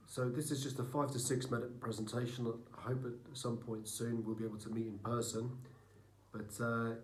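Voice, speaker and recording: A middle-aged British man giving an earnest, measured church presentation about his mission work, pausing often with ums and uhs. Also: mid-range voice, little pitch movement. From United Kingdom. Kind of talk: recorded slide presentation.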